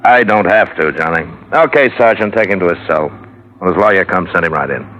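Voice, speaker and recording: An actor playing a hard-nosed, skeptical American police lieutenant questioning a suspect in an old radio crime drama. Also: male voice, low voice, lively intonation, brisk, background noise. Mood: matter-of-fact, hard. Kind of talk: 1940s-50s radio crime drama acting. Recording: dull old radio broadcast.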